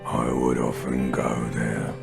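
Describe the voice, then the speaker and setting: A man giving a solemn, drawn-out, song-like recitation. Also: rumbling low, little pitch movement, creaky, loud music behind the voice, exaggerated voice.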